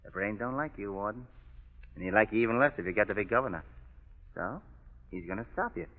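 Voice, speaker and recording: An actor playing a cocky condemned gangster who talks tough and taunts everyone, in an old radio drama. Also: male voice, lowish voice, expressive, fast, lightly acted. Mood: taunting. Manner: tough, taunting, mocking laughter. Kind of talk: 1940s radio acting. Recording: tinny old radio broadcast with long reverb.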